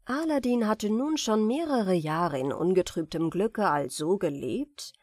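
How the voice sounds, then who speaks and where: A woman reading a fairy tale as an audiobook narrator, in expressive, carefully enunciated storytelling. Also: mid-range voice, a little slow, no room sound, clean studio sound.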